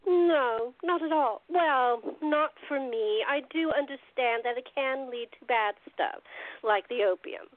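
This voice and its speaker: High-voiced indulgent society hostess, played by a woman. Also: unhurried. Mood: breezy, indulgent. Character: Morgana, indulgent 1920s socialite hostess, playful but firm. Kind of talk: radio play acting. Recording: tinny, phone-like sound with echo.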